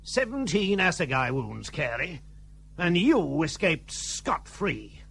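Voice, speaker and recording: A man's voice: a little high, big pitch swings, takes it slowly, vocal fry, a bit bright, breathy edge, heavily acted. An actor playing a scornful, accusing army man in a period radio drama, cutting in manner, on a faintly noisy recording. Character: scornful, accusing British army man confronting a disgraced officer.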